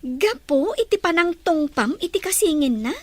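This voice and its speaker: Woman acting a high-voiced inquisitive Filipino confidante. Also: expressive, normal speed, a little mellow. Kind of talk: Ilocano audio drama acting.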